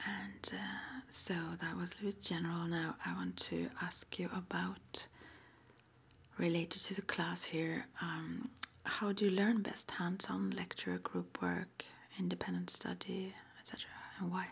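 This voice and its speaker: A woman whispering softly and breathily as an interviewer in an ASMR roleplay, murmuring little acknowledgements between her questions. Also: lowish voice, measured pace, vocal fry, slightly rough. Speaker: interviewer at a witch school.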